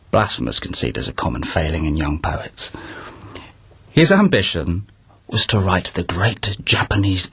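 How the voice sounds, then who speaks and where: A sardonic courtier gleefully mocks a rival's failed ambitions. His voice is lowish and husky, recorded close and dry. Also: fairly bright tone, no echo, full character voice. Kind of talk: BBC radio drama acting.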